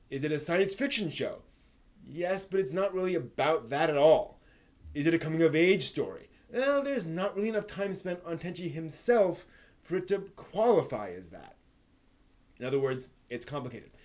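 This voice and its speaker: A man's voice: a little high, a little mellow. Man hosting an anime talk stream. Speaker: live stream host. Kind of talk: anime review and live discussion.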